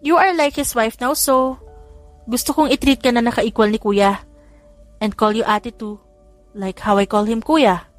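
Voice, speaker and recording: A woman narrator acts out a sweet, chatty younger sister in an audiobook romance. Her voice sits high as she teases her brothers and slips between Tagalog and English, with music underneath. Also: steady tone, measured pace, long pauses, no echo, close to an everyday voice. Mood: pleading, sweet. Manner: mixes Tagalog with English phrases. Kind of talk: audiobook character dialogue.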